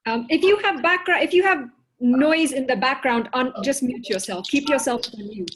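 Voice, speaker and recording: A woman's voice: high voice, moderate pace, breathy edge. A woman hosting a video call meeting, calling on participants and giving friendly, slightly hesitant instructions. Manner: organizing, friendly, a little hesitant. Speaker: host. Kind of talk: moderating an online meeting.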